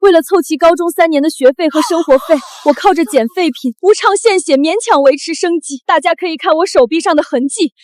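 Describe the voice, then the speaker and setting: An actress playing a wronged, resilient young top student in a short drama, speaking in earnest, declamatory phrases. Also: female voice, very high-pitched, fairly quick, few pauses, no room sound. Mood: resolute. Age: young adult.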